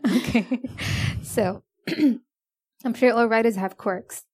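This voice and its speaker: Woman interviewer with quick prompts. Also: on the high side, darkish tone. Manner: encouraging, brief prompts, laughs often. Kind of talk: casual interview chat.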